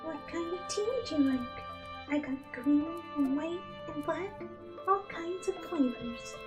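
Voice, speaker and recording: Cartoon pegasus mare: tiny, very high, soft and sweet, timid and kindly, music underneath. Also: female voice, animated, slow. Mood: kindly, hospitable. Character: gentle, timid young female pegasus pony. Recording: music bed behind the voice. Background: instrumental music.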